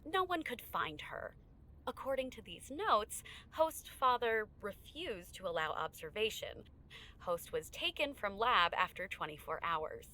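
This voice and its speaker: A woman acting in an audio drama as a poised tech research lead, speaking formally and in a measured, clinical way. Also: medium pitch, very animated, bright, forceful tone, poor audio. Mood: clinical, guarded.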